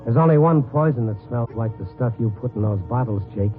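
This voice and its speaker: An actor playing a grim, wry newspaper reporter who narrates a noir radio drama in a brooding, clipped, dramatic way. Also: male voice, mid-range voice, fairly quick, faint music behind, natural voice. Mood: grim, urgent. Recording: tinny 1950s radio broadcast recording.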